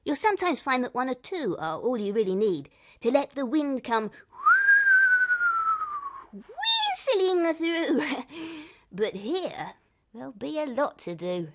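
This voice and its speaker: A woman's voice: sits high, huge pitch swings, slow, pauses often, very noisy, pitched above the performer's own voice, heavily acted. A woman voicing a chatty, mischievous, mocking male ghost who fusses over haunting rules in a theatrical reading of comic verse. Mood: gleeful. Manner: theatrical, full of chuckles.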